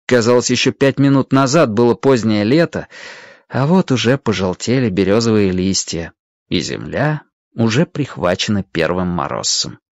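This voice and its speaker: A man reads a dramatized storybook tale as narrator. His delivery is expressive, with lively, varied intonation. The sound is dry, with little room around the voice. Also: on the low side, normal speed, no room sound.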